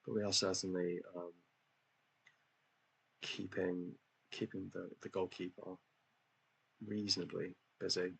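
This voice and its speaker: A man's voice: deep voice, level tone, measured pace, creaky, long pauses, fairly bright tone, slightly rough, rough recording. A man commentating casually on a football management game he is playing, talking in a chatty, hesitant way with lots of ums and self-deprecating asides. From England. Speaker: gaming channel host.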